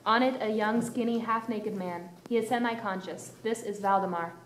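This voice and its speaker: A woman's voice: medium pitch, moderate pace. A woman reading stage directions as scripted narration for a play. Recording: echoey room, faint noise.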